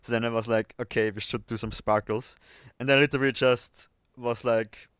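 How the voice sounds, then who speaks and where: Casual man with a clear, dry voice. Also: mid-range voice, steady tone, normal speed, a bit bright, no echo. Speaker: livestream host and video editor. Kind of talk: casual chat.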